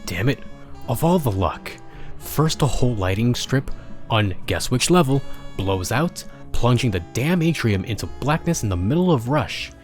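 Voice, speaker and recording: A man's voice: mid-range voice, big pitch swings, normal speed, lightly acted. A man voicing a grumpy, sarcastic pony maintenance supervisor who dictates log entries in a story. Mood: exasperated. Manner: exasperated, sarcastic, swearing. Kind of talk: dramatic storybook character reading. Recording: music behind the voice.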